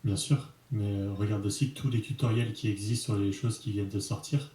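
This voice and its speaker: A man's voice: on the low side, fairly even intonation, brisk, dark tone, reverberant. A man, an entrepreneur speaking as the guest in a casual interview, frank and colloquial with many fillers.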